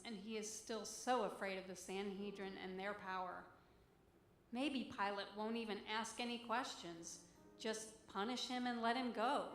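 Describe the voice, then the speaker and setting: A woman's voice: mid-range voice, a bit bright, close to an everyday voice. Woman reading as an anxious councillor in an echoey room. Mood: troubled, doubtful. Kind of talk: dramatic monologue reading in church. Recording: echoey church, music behind.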